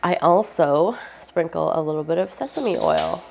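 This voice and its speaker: Young woman with a stuffy nose from a cold, chatty vlog talk, lots of ums, lowish voice. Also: expressive, normal speed, creaky, a little hiss or hum. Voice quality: stuffy, nasal. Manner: chatty, rambling with many fillers. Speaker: vlogger. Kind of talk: casual vlog chat.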